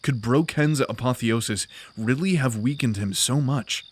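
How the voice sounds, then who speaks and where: A man narrating a scripted fantasy audio drama in a deliberate, grave storytelling manner. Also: medium pitch, breathy edge.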